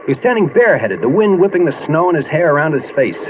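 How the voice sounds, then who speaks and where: Lively-voiced young army private. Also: male voice, fairly high pitch, fast talker, noisy background. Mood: haunted, wry. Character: weary young American army private telling strange tale. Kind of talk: 1950s radio drama narration. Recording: dull 1950s radio recording, music behind. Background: music.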